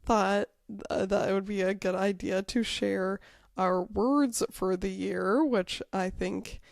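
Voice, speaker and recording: Croaky, gravelly-voiced woman co-host. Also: mid-range voice, steady tone, takes it slowly, no echo, clean studio sound. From Wyoming. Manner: chatty, self-deprecating, laughs often. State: croaky, recovering from illness. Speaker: podcast co-host. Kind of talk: casual chat.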